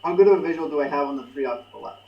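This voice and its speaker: A man's voice: on the high side, very fast. Methodical man, heard from across the room. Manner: methodical, checks rules first. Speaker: player. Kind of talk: casual tabletop play. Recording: distant, dull echoey sound.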